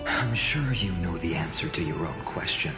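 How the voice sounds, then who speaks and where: An actor playing an earnest, polite young male leader in a game, speaking calmly and courteously. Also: deep voice, normal speed. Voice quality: calm, courteous. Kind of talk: game voice acting. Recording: roomy echo, music behind.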